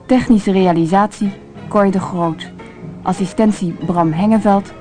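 A woman's voice: medium pitch, steady tone, a little slow, dark tone. A woman announcer reading scripted radio credits. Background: music.

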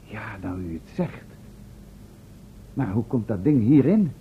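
A man's voice: lowish voice, big pitch swings, a little slow, slight background noise. A man playing a professor's curious, sceptical assistant in a Dutch radio play, speaking formally with dry irony. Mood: puzzled. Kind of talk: Dutch radio drama acting. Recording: narrow, tinny old radio recording.

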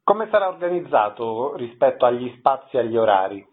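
A man's voice: medium pitch, big pitch swings, fairly quick, slightly rough. A man hosting a radio show, delivering prepared announcements and interview questions. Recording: dull lo-fi radio recording.

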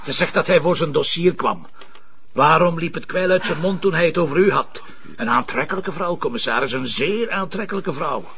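A man acting a gruff, jealous, sarcastic police commissioner in a TV crime drama, speaking bluntly with a Flemish accent. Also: a little high, normal speed, a bit bright, a little hiss or hum. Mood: jealous, bitter.

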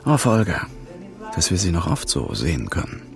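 An actor playing a suave, laconic lover with a dry manner in a German radio crime drama. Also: male voice, sits low, huge pitch swings, moderate pace, creaky, faint music behind, heavily acted.

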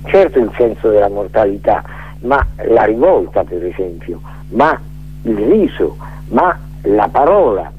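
A tinny, phone-like radio line carries an older man with a husky, fairly low voice. He talks in a rambling, reflective monologue, often pausing to search for the next word. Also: sing-song. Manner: digressive, hesitant, searching for words. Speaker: radio guest speaker.

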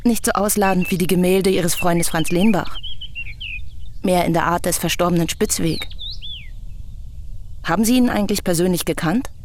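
A woman's voice: fairly low pitch, normal speed, pauses often, a little mellow, slight background noise, lightly acted. An actress playing a bold, talkative woman visitor in a German radio play, articulate, insistent and teasing. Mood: chatty, teasing.

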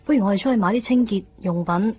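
A woman's voice: mid-range voice, fast, natural voice. An actress playing an introspective, guilt-ridden young woman in a Cantonese radio drama, with a Hong Kong accent. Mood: subdued. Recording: dull 1990s radio recording, faint music.

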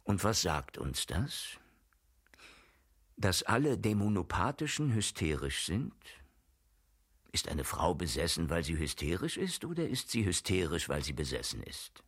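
An actor playing a learned man who gravely expounds on dark occult matters in a radio play, speaking in a deliberate, erudite way. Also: deep voice, big pitch swings, full character voice. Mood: sceptical, lecturing. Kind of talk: German radio play dialogue. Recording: music behind the voice.

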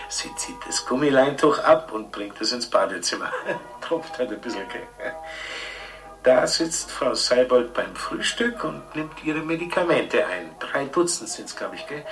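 A genial television quiz host in a radio satire, chatty and folksy with a southern German accent. His pitch swings freely as he rambles along, recorded a little way off with faint music behind. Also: animated, a little slow, fairly bright tone, recorded from a distance. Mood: chummy, breezy. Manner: folksy, chummy, rambling patter. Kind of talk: satirical radio play acting.

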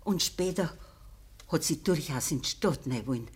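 A woman playing a dying, middle-aged peasant mother in a radio play, humble and sorrowful, speaking halting Bavarian dialect in a weak voice. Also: low-pitched, lively intonation, a little mellow, exaggerated voice. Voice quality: husky, weak. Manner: halting, deferential.

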